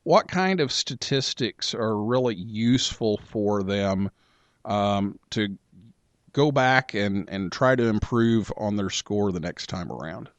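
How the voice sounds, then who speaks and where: A man's voice: on the low side, slow, dry recording, dull audio. A man hosting a call-in radio show, easygoing, who asks long rambling questions. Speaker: host.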